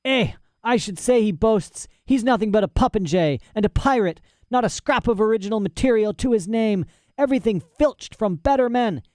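A man's voice: sits high, lively intonation, normal speed, darkish tone, no echo, clean studio sound, voice pushed up. A man voicing a mad old professor in a storybook reading, cranky, boastful and pompous, querulously tossing in "eh". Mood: ranting, scornful. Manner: agitated, querulous, pompous, punctuating talk with "eh".

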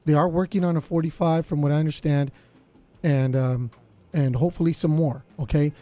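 A man hosting a college radio show, his voice slightly raspy, chatting casually with lots of fillers. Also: a little high, fairly even intonation, brisk, mellow. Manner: laid-back, lots of fillers. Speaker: radio DJ host.

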